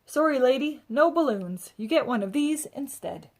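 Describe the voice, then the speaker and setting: Woman playing a chummy, lively barber man. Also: sits high, moderate pace, pitched above the performer's own voice. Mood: breezy. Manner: casual, chummy. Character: breezy, chummy male barber. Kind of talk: children's storybook character voice.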